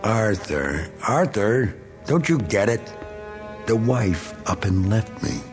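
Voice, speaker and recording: A middle-aged American war veteran with a low voice, full of bluster and self-pity. He needles and rants in slangy tough talk, like a bitter, boozy bigot in a radio play. Faint music runs underneath. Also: big pitch swings, takes it slowly, exaggerated voice. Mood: pleading, self-pitying. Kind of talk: dramatic radio play acting.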